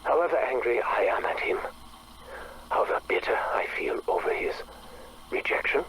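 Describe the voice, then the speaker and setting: Casting: obsessive, bitter scientist for game audio logs; formal, theatrical diction, breathy and brooding, slightly noisy recording. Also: male voice, mid-range voice, expressive, moderate pace, fairly bright tone. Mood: bitter, indignant. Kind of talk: dramatic monologue for a game audio log.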